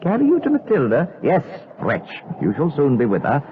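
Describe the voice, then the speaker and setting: An actor playing a malevolent, commanding demon tempter in a gothic audio drama, with an imperious and taunting manner. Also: male voice, on the high side, wildly swooping pitch, normal speed, a little hiss or hum. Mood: mocking, gloating. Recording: band-limited lo-fi audio under loud music.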